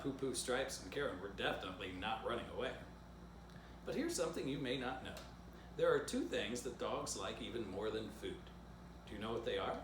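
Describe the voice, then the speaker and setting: Animated man reading a children's story. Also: medium pitch. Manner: playful asides addressed directly to young listeners. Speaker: author reading own book aloud. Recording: roomy echo, background noise.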